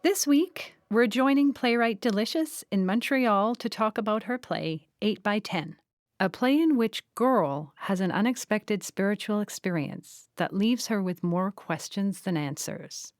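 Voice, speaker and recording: A woman's voice: medium pitch, lively intonation, unhurried, no echo, clean studio sound. A woman hosting a theatre podcast, reading introductions and putting questions to a guest. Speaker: podcast host. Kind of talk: scripted podcast introduction and interview.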